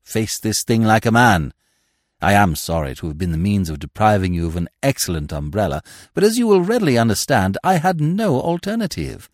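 A man voicing a suave, unflappable gentleman in an audiobook, blandly cordial and grandiose in his florid speech. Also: lowish voice, sing-song, a little fast, dry recording, clean studio sound. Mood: grandly earnest. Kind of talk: audiobook character voice.

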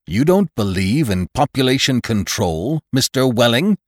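A man's voice: mid-range voice, huge pitch swings, moderate pace, no room sound, studio quality, voice pushed up. Man acting a pompous, exuberant elderly doctor, wildly swooping. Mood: severe, lecturing. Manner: emphatic, oratorical, lecturing. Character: pompous, exuberant elderly male doctor preaching utopian order.

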